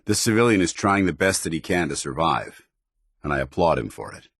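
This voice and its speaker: Deep-voiced, stern military paladin. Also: male voice, sing-song, a little fast, a bit bright, slightly rough, no room sound, clean studio sound, heavily acted. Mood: measured, opinionated. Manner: formal, commanding, earnest military delivery. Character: stern, honor-bound male military paladin of a soldierly brotherhood. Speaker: voice actor. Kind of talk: video game companion dialogue.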